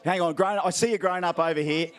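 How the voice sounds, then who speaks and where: A man with an Australian accent works a crowd as MC at an outdoor event. His voice sits fairly high and his talk is playful and teasing, with a faint crowd murmuring behind him. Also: steady tone, quick pace. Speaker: event MC giving out prizes. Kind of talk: live crowd banter.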